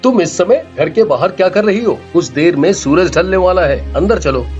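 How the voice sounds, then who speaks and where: A man's voice: high-pitched, expressive, normal speed, a little mellow, lo-fi sound, soft background music. A man voicing a kind, earnest, easily frightened young village man in a children's story. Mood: concerned.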